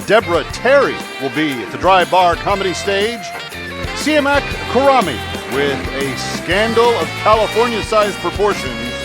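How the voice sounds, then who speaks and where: High-voiced male show announcer over loud music. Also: big pitch swings. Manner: theatrical, drawn-out announcer delivery. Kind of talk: scripted late-night show introduction. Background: loud show theme music.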